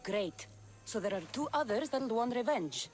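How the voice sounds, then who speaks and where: A woman's voice: on the high side, lively intonation, normal speed, faint music behind, close to an everyday voice. A woman playing a sardonic, skeptical young mercenary heroine in a video game, often exasperated. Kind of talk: video game cutscene acting.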